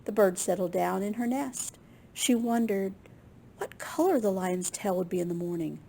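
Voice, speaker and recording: A woman reading a picture book aloud to children in an expressive, unhurried way, with small asides to her listeners. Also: medium pitch, vocal fry, a little hiss or hum, rough recording. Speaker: children's storytime reader at a library.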